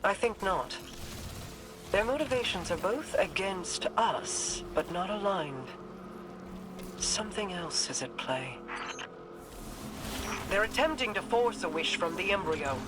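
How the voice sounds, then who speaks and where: A woman's voice: mid-range voice, expressive, slow pace, pauses often, background noise. A woman playing a regal, enigmatic immortal queen in a video game, composed and formal in her delivery. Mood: grave.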